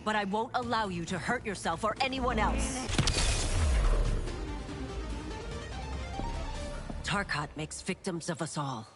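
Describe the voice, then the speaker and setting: A steadfast former priestess warrior, voiced by a woman, speaks with calm, formal conviction in a video game scene. Her pitch sits in the middle and cinematic music plays clearly behind her. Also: close to an everyday voice. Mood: earnest, reassuring. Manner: solemn, measured, formal.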